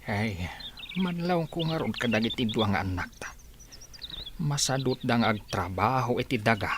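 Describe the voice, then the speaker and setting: A man's voice: mid-range voice, expressive, normal speed, a bit bright, a little hiss or hum, close to an everyday voice. A man playing an earnest, exasperated village farmer father in a radio comedy drama.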